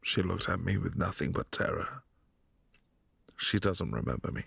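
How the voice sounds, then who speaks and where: A man voicing a brooding, possessive adult vampire prince in a boyfriend ASMR audio drama, speaking softly and often in a hushed whisper. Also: sits low, expressive, normal speed, vocal fry, slightly rough, no echo, exaggerated voice. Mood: melancholy.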